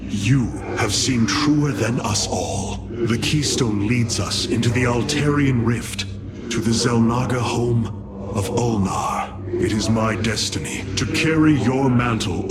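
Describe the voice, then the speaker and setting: An actor voicing a noble, commanding alien leader in a video game, speaking formally and with measured gravity. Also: male voice, fairly low pitch. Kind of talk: dramatic video game voice acting.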